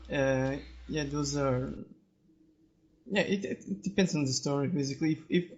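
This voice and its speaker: A man giving opinions as a podcast guest in a halting, hesitant way, searching for words. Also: a little high, a little mellow. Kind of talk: casual discussion of opinions. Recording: dull, lo-fi voice-chat audio.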